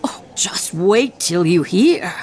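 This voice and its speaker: An actress playing a witty, boozy woman poet with theatrical bravado in a radio play, in a wry, declamatory, halting tipsy manner. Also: on the low side, lively intonation, a little mellow. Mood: amused, gossipy. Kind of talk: radio theatre acting in verse.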